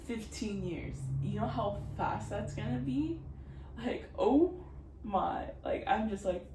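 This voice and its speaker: A woman's voice: mid-range voice, expressive, slow pace, warm tone. A woman in her twenties chatting casually as a vlogger at home, rambling, filling her talk with "like" and laughing often. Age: 20s. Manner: rambling, giggly, lots of "like". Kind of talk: casual chat. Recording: roomy, distant mic, background noise.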